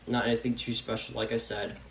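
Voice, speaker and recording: A man's voice: on the low side, level tone. Echoey, offhand man vlogging casually. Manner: halting, offhand. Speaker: vlogger showing a radio collection. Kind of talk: casual unscripted product show-and-tell. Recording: echoey room, background noise.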